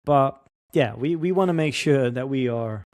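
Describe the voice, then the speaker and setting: A man with a mellow, dark tone hosts a casual podcast interview and thinks aloud with plenty of fillers. His voice sounds dry and close to the mic. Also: mid-range voice, moderate pace, dry recording. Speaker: podcast host.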